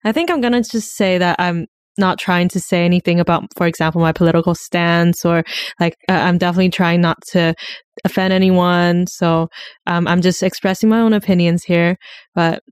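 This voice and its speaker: A woman's voice: lowish voice, fairly even intonation, fairly quick, clean tone, no room sound, studio quality. A young woman from mainland China chatting casually, hesitant and full of fillers. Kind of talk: casual chat.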